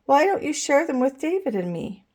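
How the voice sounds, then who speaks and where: A woman's voice: high voice, big pitch swings, moderate pace, a little mellow, pitched above the performer's own voice. A woman voicing an earnest little kindergarten boy in a storybook, with a childlike, exclaiming manner. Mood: earnest, urging. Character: earnest little kindergarten boys squabbling and making up.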